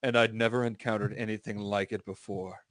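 A man's voice: lowish voice, level tone, a little fast, no echo. An American man hosting a podcast, giving a comic dramatic reading of a story and breaking off into casual asides.

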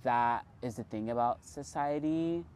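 A man in his twenties chats as a podcast guest, loose and unhurried, peppering his talk with fillers. His voice sits in an ordinary middle register. A faint background hiss runs under the recording. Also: mid-range voice, a little mellow. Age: 20s. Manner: chatty, loose, many filler "like"s. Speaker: podcast guest, artist and filmmaker. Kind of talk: casual conversation.

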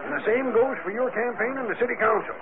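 A brisk, barking city editor from a vintage radio play. The voice is high-pitched and gravelly, clipped and hard-boiled, on a phone-thin recording. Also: male voice. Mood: brisk, busy. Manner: clipped, loud, hard-boiled newsroom talk. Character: brisk, barking newspaper city desk man. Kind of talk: 1940s radio drama acting. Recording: tinny old radio with background chatter.

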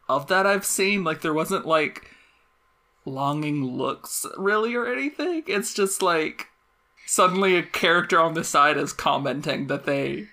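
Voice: mid-range voice, sharp tone. A podcast co-host chatting casually and analytically about a film, in a conversational, thinking-aloud way.

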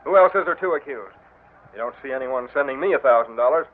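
An actor playing a sly office clerk who keeps smoothly casting suspicion, in an old radio drama. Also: male voice, mid-range voice, expressive, quick pace, narrow, tinny audio, close to an everyday voice. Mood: insinuating. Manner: insinuating, smooth. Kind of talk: 1940s radio acting. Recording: thin old radio broadcast.

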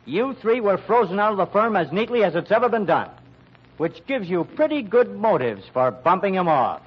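An actor playing a shrewd, hard-boiled young investigator in an American old-time radio mystery, brisk and matter-of-fact. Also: male voice, high voice, very animated, husky, exaggerated voice. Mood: accusing. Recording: dull vintage radio recording.

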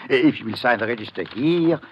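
A man's voice: mid-range voice, lively intonation, quick pace, a little hiss or hum, lightly acted. A man playing an obliging, deferential innkeeper with a German accent in an old-time radio drama. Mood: eager, deferential. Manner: obliging, formal, chatty. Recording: muffled vintage radio recording.

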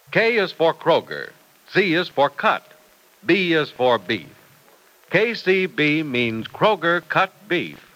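A man's voice: a little high, pushed and bright, low-quality recording. A man announcing in old-time radio style, reading a script with emphatic, polished salesmanship.

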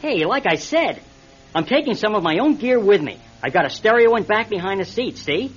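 An actor playing a reluctant, cautious young amateur pilot in a classic radio drama, casual and stammering. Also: male voice, high voice, sing-song, fairly quick, husky, heavily acted. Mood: apologetic, firm. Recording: dull, slightly noisy radio drama recording.

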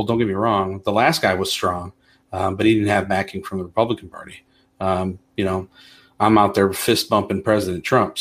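Rambling, low-voiced man. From Toledo, Ohio. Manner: rambling, frequent fillers and restarts. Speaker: congressional candidate. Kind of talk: casual interview answers.

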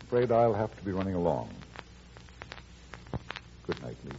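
An actor playing an easygoing, wry middle-aged farmer and suitor with an American accent in a classic radio drama. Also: male voice, low-pitched, sing-song, normal speed, warm tone, slight background noise. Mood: warm, persistent. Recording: dull lo-fi old radio recording.

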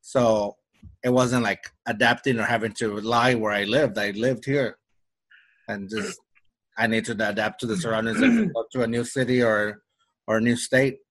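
Relaxed man with a slight Mexican Spanish accent. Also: fairly low pitch, normal speed, poor audio. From Mexico-US border region. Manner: rambling, frequent restarts and fillers. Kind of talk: casual conversation.

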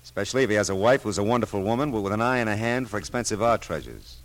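An American actor playing a wry, world-weary but soft-hearted private detective in a vintage radio drama, clipped and slangy. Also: male voice, on the low side, expressive, rapid-fire, dry recording, lightly acted. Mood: frank, sympathetic. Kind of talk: 1940s-50s American radio detective drama, first-person narration.

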